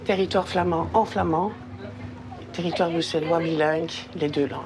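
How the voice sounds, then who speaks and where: Belgian conductor, polite short answers, clear train noise behind. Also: mid-range voice, moderate pace. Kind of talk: announcements and casual interview. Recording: steady train background noise.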